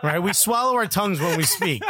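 A man's voice: high voice, expressive, brisk, a crowd in the background. A man with a Cuban accent co-hosting a casual soccer podcast, joking and laughing often. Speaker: podcast co-host.

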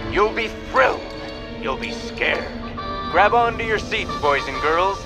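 A man's voice: sits high, over music. A man playing a bombastic, theatrical announcer in an old-time radio serial promo. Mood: thrilled. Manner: theatrical, drawn-out, excited.

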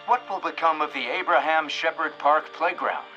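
An actor playing a showy, tongue-in-cheek, old-fashioned radio announcer and narrator. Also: male voice, fairly high pitch, normal speed, sharp tone, muffled recording, faint music behind. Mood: dramatic, teasing. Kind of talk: mock vintage radio announcing.

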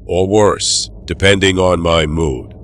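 An actor playing a cold, controlling doctor in an audio drama, giving orders in a stern, measured, quietly menacing way. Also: male voice, sits low, fairly even intonation. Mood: quietly threatening.

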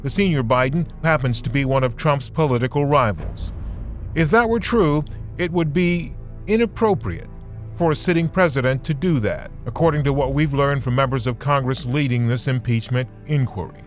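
A male podcast host delivers scripted news narration. His voice sits at a medium pitch, and music plays behind him as he explains. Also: normal speed.

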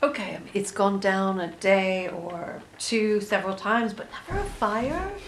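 A woman's voice: medium pitch, expressive, a little slow, natural voice. An actress playing a sensitive, principled woman in an audio play, speaking with earnest emotion. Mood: worried. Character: sensitive, principled woman protective of her elders. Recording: echoey, distant, faint noise.

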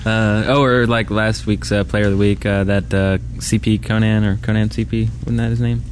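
A man's voice: on the low side, level tone, normal speed. A man co-hosting a podcast in casual chat, recorded on an indoor convention floor. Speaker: podcast co-host. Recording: background noise of a busy convention floor. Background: convention ambience and noise.

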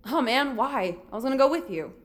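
A woman's voice: high-pitched, animated, brisk. A woman playing a crude but good-hearted young sidekick in a comic audio drama, with a casual, blunt, slangy delivery. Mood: blunt, exasperated.

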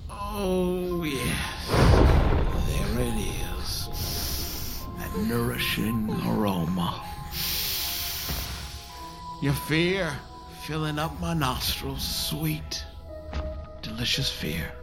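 An actor playing a gleeful, sinister demon bard who feeds on fear, slow and theatrical, in a horror audio drama. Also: male voice, a little high, very animated, creaky, heavily acted. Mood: gleeful menace. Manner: slow, theatrical, savoring menace. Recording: music bed behind the voice.

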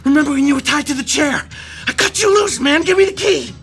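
An actress playing a defiant, desperate captive police detective in a horror radio drama, urgent and forceful. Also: female voice, sits high, lively intonation, brisk, creaky, faint music behind. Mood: urgent pleading.

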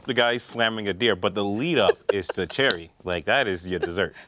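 A man's voice: lowish voice, normal speed, noisy background. A man co-hosting a podcast in casual group banter, earnest and full of questions. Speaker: podcast co-host.

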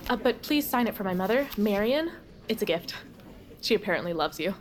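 A young woman's medium-pitched voice from an actress in an audio drama. She is an awkward college student, halting and casual, with a tactless bluntness. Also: lively intonation, natural voice. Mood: awkward, polite. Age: young adult.

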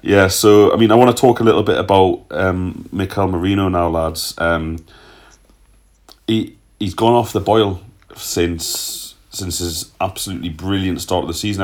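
A man's voice: deep voice, a little mellow. A man hosting a casual football podcast, reading out listener questions and answering them in a chatty, loose way with the odd swear. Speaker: podcast host.

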